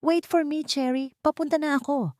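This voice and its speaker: A woman's voice: high voice, fairly even intonation, moderate pace, a little mellow, no room sound, pitched above the performer's own voice, lightly acted. A woman voicing a worn-out young female office employee, earnest and subdued, in an audio drama. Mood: newly resolved.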